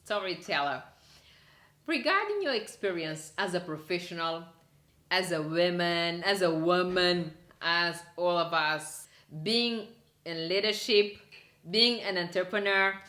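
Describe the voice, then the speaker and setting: A woman hosting an interview who speaks haltingly, with frequent restarts and loose phrasing. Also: on the low side, expressive, bright, forceful tone, roomy sound. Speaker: interview host. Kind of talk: interview questions.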